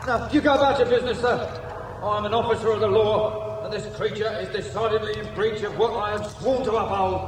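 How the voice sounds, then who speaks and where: A man's voice: sits high, fairly even intonation, brisk, noisy background, lightly acted. An actor playing a dutiful, plodding, doggedly brave policeman, officious and deferential, in a radio science fiction drama. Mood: resolute. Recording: echoing space with music behind.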